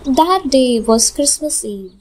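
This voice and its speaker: High-voiced young woman narrating. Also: lively intonation, slow, darkish tone. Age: young adult. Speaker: student narrator. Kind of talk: scripted story narration.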